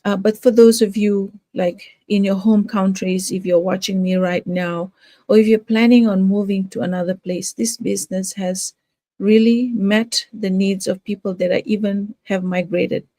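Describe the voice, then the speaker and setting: Soft-toned woman from Fiji, halting. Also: medium pitch, steady tone, dry recording. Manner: hesitant, many fillers and restarts. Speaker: home business promoter. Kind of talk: casual talk to camera.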